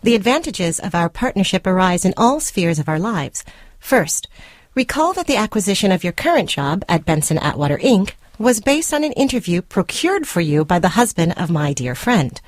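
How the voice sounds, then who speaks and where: A controlling, jilted girlfriend in a radio short story. Her lowish voice is officious and precise, coldly formal and condescending. The sound is close and dry, as in a studio. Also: very animated, normal speed, no echo. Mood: officious, controlled.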